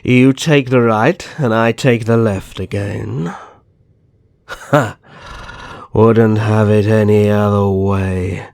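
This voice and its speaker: A man playing a smugly cruel, vengeful vampire naga in an ASMR roleplay, taunting and drawing out his words in a gravelly voice. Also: lowish voice, fairly even intonation, a little mellow, no echo. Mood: eager, predatory. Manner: drawn-out, taunting.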